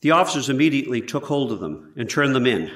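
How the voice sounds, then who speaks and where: A man playing an 18th-century surgeon who gives measured, precise testimony in a scripted trial re-enactment. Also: medium pitch, very animated, a bit bright. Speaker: actor in a historical trial re-enactment. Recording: roomy echo, distant from mic.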